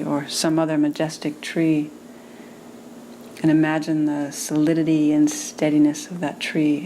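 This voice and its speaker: A woman meditation teacher leading a guided meditation in a soothing manner, with gentle pauses between phrases. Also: low voice, a little hiss or hum, poor audio.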